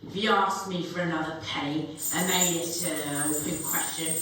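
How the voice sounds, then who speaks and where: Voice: mid-range voice, fairly even intonation, normal speed, lightly acted. A young performer playing a greedy, sly old rag dealer in a theatrical live reading of a Victorian tale. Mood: grudging. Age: young adult. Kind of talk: theatrical dramatic reading of Dickens. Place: live performance in echoey castle museum room. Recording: roomy echoing museum, distant mic, faint noise.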